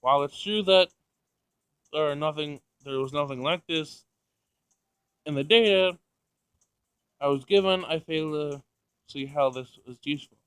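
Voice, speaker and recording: A detached robot girl from a visual novel, read by a man in a slightly high voice, literal-minded and condescending. The phrasing is formal and emotionless, recorded dry. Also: unhurried, long pauses, a bit bright, smooth, no room sound. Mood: detached disdain.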